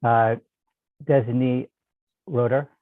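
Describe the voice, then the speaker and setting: A man serving as general counsel, calling the roll for a vote in brisk, clipped phrases. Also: medium pitch, steady tone, no room sound. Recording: tinny, dry phone-like line.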